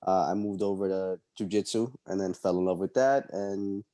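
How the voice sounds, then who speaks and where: A young man from El Salvador, a fighter being interviewed, answering casually and haltingly with many ums and uhs. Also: low voice, steady tone, warm tone, no echo, clean studio sound. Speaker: MMA fighter guest.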